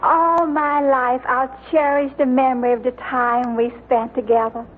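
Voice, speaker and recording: A woman's voice: high-pitched, flat delivery, unhurried, a little hiss or hum. An actress playing a devoted, wistful secretary in an old radio sitcom, lingering sentimentally over her words. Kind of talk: 1940s-50s radio sitcom acting. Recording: tinny vintage radio with faint audience.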